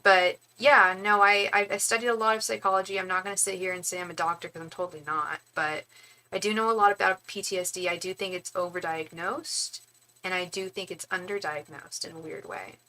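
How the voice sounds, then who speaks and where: A young woman with a bright, edged voice gives a casual psychic reading on a live stream. She rambles, restarts and speaks straight to her viewers in a chatty, conversational way. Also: fairly low pitch, steady tone, brisk, rough recording. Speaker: tarot reader and psychic.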